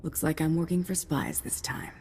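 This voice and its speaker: A woman playing a cool, tough alien bounty hunter in a video game, terse, deadpan and confident. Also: sits low, normal speed, long reverb tail, a little hiss or hum, lightly acted. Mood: businesslike.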